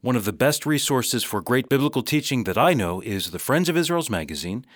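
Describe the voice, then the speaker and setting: A man's voice: mid-range voice, expressive, a little fast, no room sound, clean studio sound. A man reading scripted radio promotion in a polished announcer manner.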